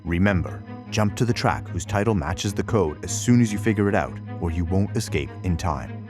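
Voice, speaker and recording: A man's voice: low voice, animated, moderate pace. A man reading scripted instructions as the narrator of a game. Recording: music behind the voice. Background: music.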